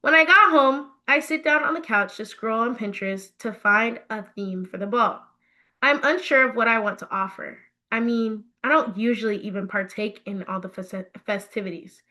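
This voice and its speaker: A woman with a fairly high, very bright voice narrates a story. She reads in an expressive storybook style, animated and emphatic in her phrasing. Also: moderate pace. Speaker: author reading her own book.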